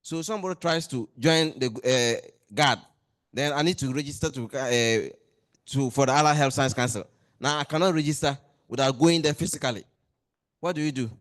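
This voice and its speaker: Man, member speaking from the floor, earnest and pressing, mid pitch. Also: normal speed, a bit bright. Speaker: lecturer and association member. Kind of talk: raising a complaint at a meeting. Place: meeting hall.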